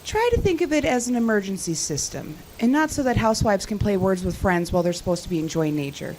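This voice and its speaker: A woman's voice: mid-range voice, sing-song, quick pace, a little mellow, slight background noise, natural voice, rough recording. A woman playing a confident, teasing cell tower technician in an audio drama, with a dry, sarcastic, bantering delivery. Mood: matter-of-fact.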